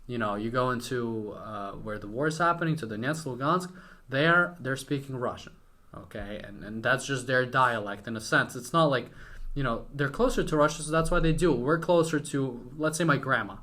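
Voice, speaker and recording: A man's voice: medium pitch, normal speed, pushed and bright. A young man from Western Ukraine, a native Ukrainian speaker, chatting casually and informally as a podcast guest. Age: young adult. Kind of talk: casual conversational interview.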